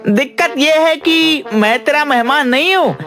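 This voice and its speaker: A woman's voice: high-pitched, a little slow, fairly bright tone, faint music behind. A woman voicing a fussy, stubborn, foolish village man in a cartoon, with a whiny, insistent, exaggerated delivery. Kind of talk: exaggerated Hindi cartoon dialogue.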